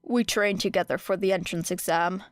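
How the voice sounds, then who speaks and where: A woman reading a fan audiobook, voicing an apathetic teenage boy who speaks flatly and curtly. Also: mid-range voice, normal speed, no room sound, natural voice. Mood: dry. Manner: flat, dry, curt.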